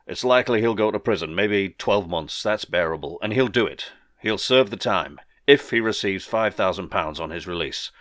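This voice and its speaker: A man playing a discreet, reassuring political fixer with a hushed, matter-of-fact delivery. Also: lowish voice, dry recording, clean studio sound.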